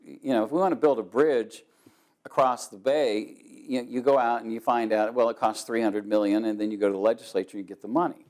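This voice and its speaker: A man in a documentary interview, explaining in a plain-spoken way with pauses between phrases. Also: mid-range voice, fairly even intonation, a little mellow. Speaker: interviewed speaker.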